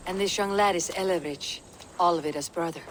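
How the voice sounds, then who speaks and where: A woman playing a steadfast Viking warrior leader in a video game, measured, authoritative and direct. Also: on the low side, a little hiss or hum, natural voice, rough recording. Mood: composed. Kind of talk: video game cutscene acting.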